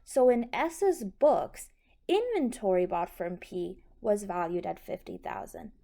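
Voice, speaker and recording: Slow-talking woman teaching step by step. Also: medium pitch, lively intonation, gravelly, warm tone. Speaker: accounting instructor. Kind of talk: step-by-step tutorial explanation.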